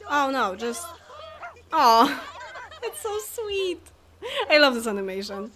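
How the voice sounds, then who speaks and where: A woman's voice: high-pitched, sing-song, takes it slowly, pushed and bright. A young woman from Poland, chatting casually and laughing as she streams a life-simulation game. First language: Polish. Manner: chatty, laughs often, talks to game characters. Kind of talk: casual live game commentary.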